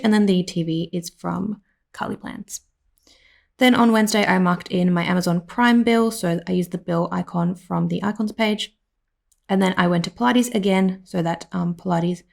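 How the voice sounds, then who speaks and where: A woman with an Australian accent, slightly hoarse, giving a rambling voiceover at home full of "like" and "um". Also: on the low side. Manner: rambling, with many "like" and "um" fillers. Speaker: planner vlogger. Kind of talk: casual voiceover narration.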